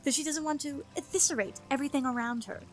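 A woman playing a stern, world-weary woman in an audio drama, speaking in a firm, measured way. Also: high voice, faint music behind, close to an everyday voice. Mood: wary, firm. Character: guarded, world-weary forest-dwelling woman, stern and principled.

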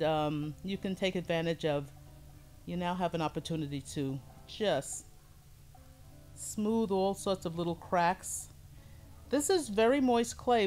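Calm middle-aged woman, low voice, pottery teacher demoing at her workbench, faint music behind. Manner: calm, explains step by step while working with hands. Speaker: ceramics teacher. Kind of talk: hands-on demonstration lesson. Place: pottery studio, indoors.

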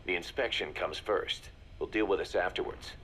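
Low, blunt military second-in-command. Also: male voice, normal speed, fairly bright tone, slight background noise. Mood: wry, hard. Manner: blunt, colloquial, swearing. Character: brash, hard-nosed male military second-in-command. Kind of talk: game voice acting, briefing dialogue.

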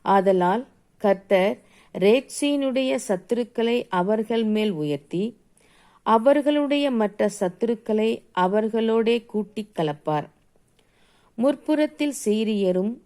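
A woman reading Bible verses aloud solemnly, phrase by phrase, in a measured and deliberate manner. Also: on the high side, mellow. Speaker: scripture reader.

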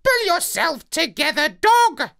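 Storybook parrot: piercing high squawk, bossy, curt, scornful. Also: male voice, very animated, slow pace, vocal fry, sharp tone, full character voice. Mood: scolding. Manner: curt, dismissive. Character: bossy, scornful know-it-all male parrot.

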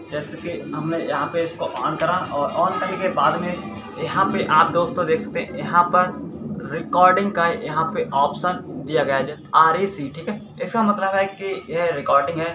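A man's voice: on the high side, steady tone, moderate pace, music in the background, rough recording. A man, explaining a product step by step in a casual, repetitive way. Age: 20s. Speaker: YouTube product reviewer. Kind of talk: casual unboxing demonstration. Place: small plain-walled room indoors.

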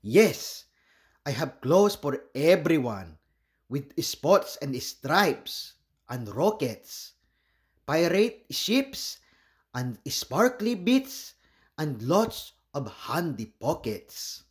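A man's voice: a little high, very animated, very slow, heavily acted. A man voicing a polite, proud seahorse shopkeeper from a storybook, with showy, courteous sales patter. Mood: boastful.

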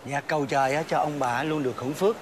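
An actor playing a humble, grateful villager in a fairy-tale drama, respectful and plain-spoken. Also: male voice, fairly high pitch, steady tone, fast talker, slight background noise, close to an everyday voice. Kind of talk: Vietnamese fairy-tale screen acting.

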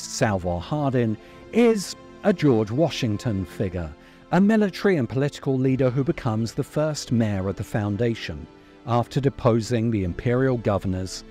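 A middle-aged man reads a scripted video essay into a close microphone. His voice is mellow and his pitch moves in a lively, emphatic way. Faint music plays behind him. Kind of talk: scripted narration, commentary. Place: open-sided covered outdoor space. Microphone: desk microphone close in front.

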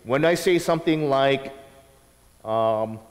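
A man in his thirties preaching a sermon from the stage of a church sanctuary, teaching with lively asides. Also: medium pitch, slow pace. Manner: animated teaching with acted quotes and asides. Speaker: pastor. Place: church sanctuary, large indoor room. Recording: long reverb of a church hall.

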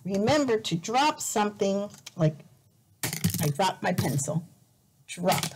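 A woman teacher reading a picture book aloud as a lesson for young learners, deliberate and expressive, repeating words carefully. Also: mid-range voice, noisy background. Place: indoor room, at a desk.

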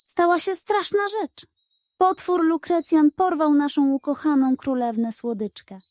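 A woman's voice: high-pitched, fairly even intonation, a little slow, a little mellow, no room sound, pitched above the performer's own voice. Woman as a trembling, frightened little chocolate elder. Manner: fearful, urgent. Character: frightened elderly tiny chocolate man, anxious and pleading.

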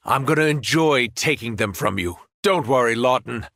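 A man's voice: medium pitch, expressive, normal speed, a bit bright, no echo, clean studio sound. An actor playing a menacing, arrogant alien superhero turned villain in a video game, taunting his foes with cold mockery and grandiose threats. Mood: cold menace.

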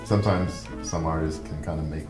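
A man with a very deep voice speaking in a measured, agreeable way in a small bar. The room echoes, and music plays clearly behind him. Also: steady tone. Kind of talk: casual chat.

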